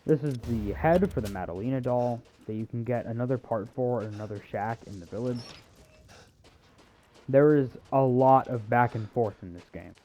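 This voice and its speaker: A man's voice: mid-range voice, normal speed, warm tone, slight background noise. A man giving casual video game walkthrough commentary, with hesitant, wry, self-deprecating asides.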